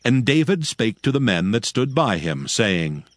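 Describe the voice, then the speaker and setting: A man narrating scripture from a script in a solemn, measured storytelling style. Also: mid-range voice, sing-song, no echo, studio quality. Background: faint background music.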